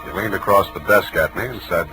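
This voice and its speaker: An actor playing a wry, laconic, tough private detective in a 1940s radio drama. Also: male voice, sits low, a little fast, sharp tone, soft background music, rough recording. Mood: wry, weary. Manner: laconic, sardonic, offhand. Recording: vintage 1940s radio broadcast.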